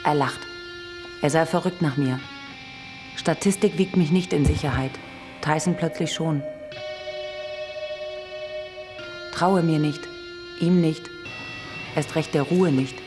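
Music and guitar play behind a wary woman's inner monologue in a radio drama. She speaks in short, literary sentences, guarded and quietly mistrustful. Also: low voice, unhurried, long pauses.

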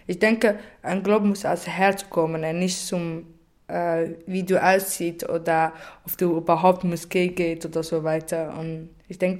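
A woman's voice: fairly low pitch, darkish tone. A woman speaking earnestly in casual German, retelling a story and giving her views while searching for words. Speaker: participant sharing views. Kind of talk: casual spoken retelling and opinion.

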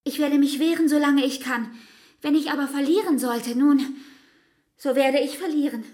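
An actress playing a brooding, anguished woman, devoted and fatalistic, speaking deliberately in a literary radio play. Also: high-pitched, a little mellow, close to an everyday voice. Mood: resigned resolve. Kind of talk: literary radio drama acting.

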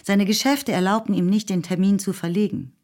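A woman's voice: mid-range voice, steady tone, brisk, darkish tone. A woman reading scripted literary narration as the narrator of a radio play. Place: radio studio. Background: music.

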